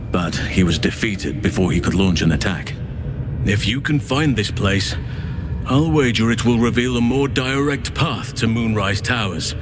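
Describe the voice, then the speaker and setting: An actor playing a weary, grave druid leader burdened by regret, speaking earnestly and in a measured way in video game dialogue. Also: male voice, lowish voice, close to an everyday voice. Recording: game music behind the voice. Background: background music.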